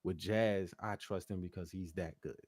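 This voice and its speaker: A man's voice: low-pitched, steady tone, moderate pace, darkish tone, dry recording, clean studio sound. A man speaking casually and informally as a musician guest in an interview, with slangy, loosely phrased talk. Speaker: interview guest, R&B singer and producer.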